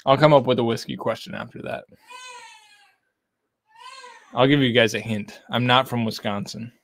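A man's voice: mid-range voice, fairly even intonation, normal speed, a bit bright, a little hiss or hum. A man in his thirties from Texas, casually chatting on a livestream from a home bar and reading out viewer comments. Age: 30s. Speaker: whiskey channel host. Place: home bar with lit shelves of bottles. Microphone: desk condenser mic.

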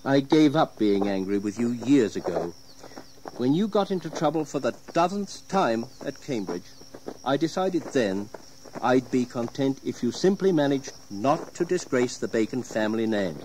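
An actor playing a stern, weary gentleman father in an audio drama, reproachful and formal. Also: medium pitch, big pitch swings, normal speed, a little hiss or hum, close to an everyday voice, poor audio. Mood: weary, bitter. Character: stern, weary gentleman father scolding his wayward son.

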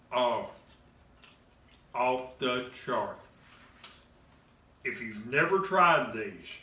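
A man's voice: mid-range voice, sharp tone. A man, hosting a cooking demonstration in his home kitchen and walking plainly through each step. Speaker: cooking show host. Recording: roomy kitchen echo.

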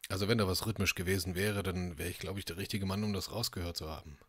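Deep husky guy playing a roleplay game, deliberate, repeats words, dry sound. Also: steady tone, fairly bright tone, no room sound. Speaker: tabletop roleplaying player. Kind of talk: improvised in-character roleplay. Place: indoor home, video call.

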